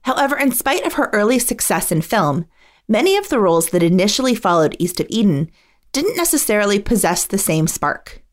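A woman reading scripted biographical narration as the host. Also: medium pitch.

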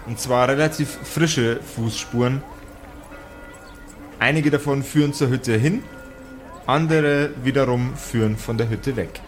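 A man narrates as game master in an improvised tabletop audio play. His voice sits at a middle pitch. Music plays behind his storytelling.

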